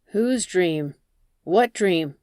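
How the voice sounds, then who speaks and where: A woman's voice: fairly low pitch, animated, very slow, darkish tone, no room sound, clean studio sound. A woman narrator voicing a casual male driver who talks in a reassuring way, in an audiobook. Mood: amused. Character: easygoing male driver, cocky, turning nervous.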